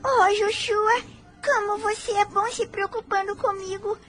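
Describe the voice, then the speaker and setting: Very high-voiced, fragile orphan girl. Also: steady tone, unhurried. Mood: adoring. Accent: Brazilian. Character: tender, fragile, grateful poor young orphan girl. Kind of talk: radio drama acting. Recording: music under the voice.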